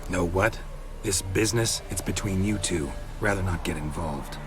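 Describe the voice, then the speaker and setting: Gruff, deep-voiced deadpan monster hunter played by a man. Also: moderate pace, background noise, close to an everyday voice. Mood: wary, dry. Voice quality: gravelly, low. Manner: terse, deadpan, clipped sentences. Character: gruff, laconic male monster hunter, wary and sardonic. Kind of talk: video game voice acting.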